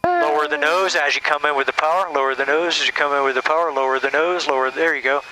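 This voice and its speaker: Bright-voiced man coaching from the right seat. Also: on the high side, fairly even intonation, a little hiss or hum, poor audio. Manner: brisk repeated commands, encouraging. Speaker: flight instructor. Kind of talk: in-flight coaching over intercom. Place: small plane cockpit.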